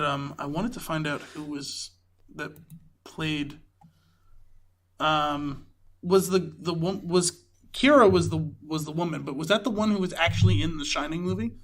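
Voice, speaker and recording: A man co-hosting a podcast, chatting casually about films in an animated way, often saying "like". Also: fairly high pitch, moderate pace, long pauses. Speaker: podcast co-host. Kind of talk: casual film review chat.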